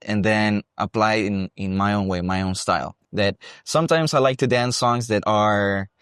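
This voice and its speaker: A young man from Costa Rica with a Costa Rican accent, talking about his dance career in a relaxed, thoughtful interview. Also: on the low side, normal speed, clear, resonant tone, dry recording, clean studio sound. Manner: relaxed, thoughtful, with frequent restarts. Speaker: guest, salsa dancer and teacher. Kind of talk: casual interview. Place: salsa congress venue.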